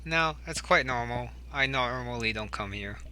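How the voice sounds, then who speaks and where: A man's voice: medium pitch, normal speed. A man giving casual game commentary as he plays, recorded with noise and clicks. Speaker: gamer.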